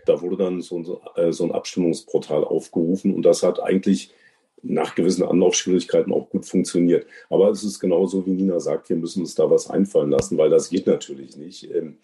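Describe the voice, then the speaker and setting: A man speaking as an interview guest in a measured, careful way with formal phrasing. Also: low voice, fairly even intonation, mellow. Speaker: jury member as podcast guest. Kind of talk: considered interview talk.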